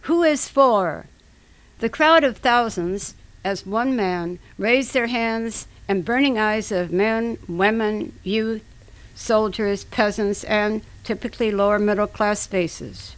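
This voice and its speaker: Female reader, very unhurried pace, solemn declamatory delivery for a radio narration. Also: medium pitch, no echo, low-quality recording. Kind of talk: scripted dramatic reading for radio.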